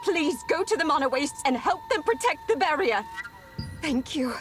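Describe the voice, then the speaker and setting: Earnest, high-voiced young investigator. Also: female voice, sing-song, moderate pace. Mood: urgent, pleading. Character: earnest young female wizard investigator, worried and polite. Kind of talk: video game voice acting. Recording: music behind the voice. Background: game music.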